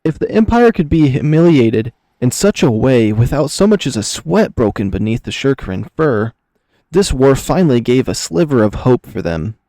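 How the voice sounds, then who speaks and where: Male narrator for scripted fiction: mellow, relaxed tone, medium pitch, expressive delivery, very dry sound. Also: moderate pace, no room sound. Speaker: narrator, reader.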